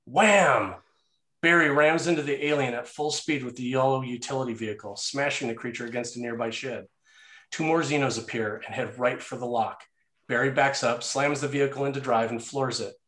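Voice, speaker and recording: A man's voice: mid-range voice, fairly even intonation, fairly quick, fairly bright tone. A man narrating action and scene headings from a screenplay in a scripted read. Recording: roomy, echoey sound.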